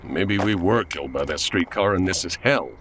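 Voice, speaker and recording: A woman's voice: a bass voice, animated, moderate pace, slight background noise. A woman playing an exasperated, fussy radio sponsor man, dry and indignant, in a comedy audio drama. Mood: dry, irritated.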